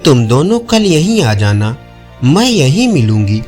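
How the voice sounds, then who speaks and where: A calm little girl who is secretly a goddess, from a devotional story, as a male narrator puts her on. The words come slowly and sweetly, with lively intonation over music. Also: mid-range voice.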